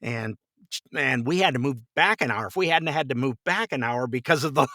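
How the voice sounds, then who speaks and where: A middle-aged or older man telling stories casually as a podcast guest, chatty and quick to chuckle, acting out bits of dialogue. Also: mid-range voice, sharp tone, no room sound, studio quality. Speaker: podcast guest, voice actor and director. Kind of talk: casual conversational storytelling.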